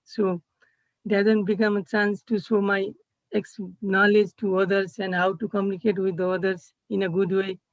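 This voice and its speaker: A young man with a Nepali accent gives a halting presentation over a video call. His voice sits high and stays fairly level in pitch. The sound is dry and somewhat dull. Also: completely flat delivery, no room sound. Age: young adult. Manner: halting, searching for words, frequent restarts. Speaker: student intern presenting. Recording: dull, slightly muffled video-call audio.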